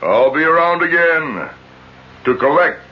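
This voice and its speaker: An actor playing an ugly, menacing giant who drives ominous bargains, in 1970s radio drama style. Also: male voice, a little high, lively intonation. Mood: ominous, sly. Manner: slow, ominous, deliberate. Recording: dull, noisy old radio broadcast recording.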